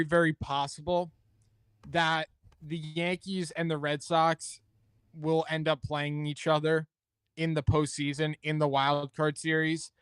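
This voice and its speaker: Higher-voiced man talking sports, clean and close. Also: a bit bright, clear, resonant tone, no room sound. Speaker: sports talk show host. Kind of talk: casual sports commentary chat.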